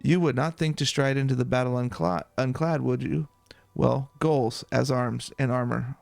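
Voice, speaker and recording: A proud adventurer leader, read out by a man speaks in formal, archaic fantasy-game lines. The voice is middling in pitch with a gravelly creak, and the delivery is earnest and grandiose over music. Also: normal speed, darkish tone, no echo, lightly acted. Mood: earnest, lofty. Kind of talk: reading game dialogue aloud.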